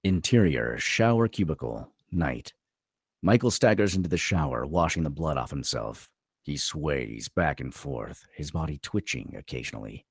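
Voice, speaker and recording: A man with an extremely deep voice narrates screenplay stage directions. His delivery is dramatic, with pitch that swings widely, and the sound is dry and close. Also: animated, normal speed, slightly rough, no room sound.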